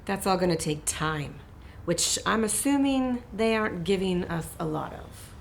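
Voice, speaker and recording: A woman playing a gruff, sarcastic police lieutenant in an audio drama, commanding and curt. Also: fairly low pitch, lively intonation, measured pace, slight background noise. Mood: weary sarcasm.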